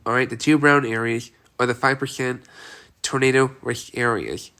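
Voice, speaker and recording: A congested man with a cold, his stuffy voice still bright and sharp, reads a severe weather outlook. He talks in a plain, repetitive way, checking in with "all right" after each list of cities. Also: mid-range voice, steady tone, normal speed. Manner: repetitive, reads city lists with frequent "all right" and "okay". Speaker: weather forecaster. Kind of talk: amateur weather outlook video.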